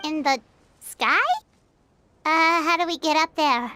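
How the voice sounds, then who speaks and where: A woman voicing a small, cheeky game companion with a high, squeaky voice. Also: bright, forceful tone, full character voice. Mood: curious. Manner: quick, cheeky, questioning. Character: small floating companion, chirpy and blunt. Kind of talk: game voice acting.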